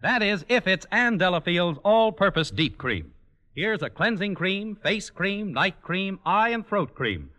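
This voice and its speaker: A man delivering a polished, scripted sales pitch as a vintage radio commercial announcer. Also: big pitch swings, a little slow, bright, forceful tone.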